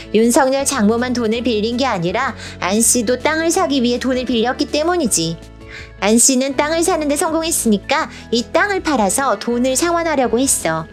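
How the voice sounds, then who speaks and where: Woman voicing a high-pitched storybook princess explainer. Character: patient young storybook princess explaining politics. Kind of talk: scripted animated explainer in casual speech. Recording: music bed behind the voice.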